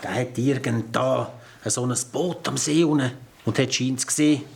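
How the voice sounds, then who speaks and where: A man's voice: medium pitch, fairly even intonation, normal speed, creaky, slight background noise, close to an everyday voice. An actor playing a flustered, evasive Swiss German policeman in a radio play, hesitant and placating. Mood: exasperated. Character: flustered, evasive policeman handing off a tiresome caller.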